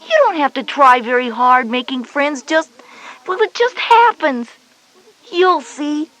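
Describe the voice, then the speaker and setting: A woman's voice: high-pitched, animated, unhurried, fairly bright tone, low-quality recording. A woman voicing a confident schoolgirl big sister, instructive and encouraging, in a children's educational audio drama. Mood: reassuring, encouraging.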